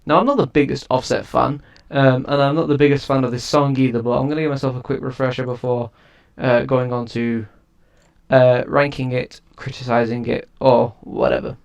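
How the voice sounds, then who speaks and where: Man, casual music review, rambling, frequent restarts, mid-pitched voice. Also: little pitch movement, normal speed, vocal fry. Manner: rambling, restarts sentences often.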